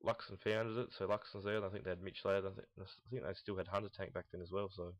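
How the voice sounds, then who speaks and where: A young man giving casual, unscripted esports commentary in a rambling, halting, blunt way, recorded in lo-fi sound. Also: deep voice, flat delivery. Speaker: host of a gaming team audio log. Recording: dull lo-fi, roomy echo, mouse clicks.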